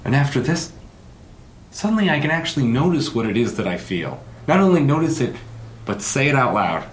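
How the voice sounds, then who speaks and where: A man's voice: very animated, a little fast, natural voice. An actor playing an emotional man confessing his love in an audio drama. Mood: earnest, emotional. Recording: echoey, with rumbling noise behind.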